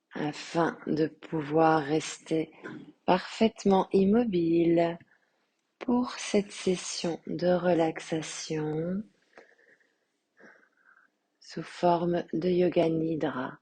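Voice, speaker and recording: Very slow, husky woman guiding meditation. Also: on the low side. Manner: calm, soothing, measured with long pauses. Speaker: yoga teacher guiding relaxation. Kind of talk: guided meditation.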